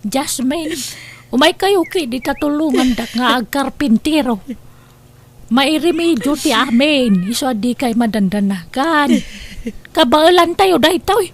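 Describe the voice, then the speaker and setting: A woman's voice: sits high, expressive, unhurried. A woman playing a caring neighbour who consoles others in an Ilocano audio drama. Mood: consoling, earnest.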